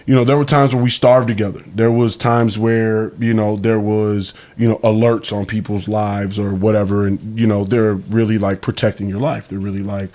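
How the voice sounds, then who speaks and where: A man in his late thirties from the Midwest, talking casually as a podcast guest in loose street slang, with sudden animated bursts. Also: fairly low pitch, moderate pace, few pauses. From Milwaukee, Wisconsin. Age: 30s. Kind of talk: casual conversation.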